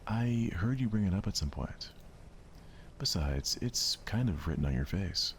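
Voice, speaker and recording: A man's voice: sits low, expressive, measured pace, a little hiss or hum, pitched above the performer's own voice. A man voicing a feisty, easily irritated schoolgirl manga fan with a blunt, grumbling manner in a visual novel. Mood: annoyed.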